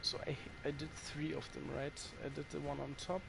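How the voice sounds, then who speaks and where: A man's voice: on the high side, completely flat delivery, normal speed, vocal fry, background noise. A man with a German accent chatting casually to his viewers while he plays, swearing when the game frustrates him. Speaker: streamer. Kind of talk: casual gameplay commentary to chat.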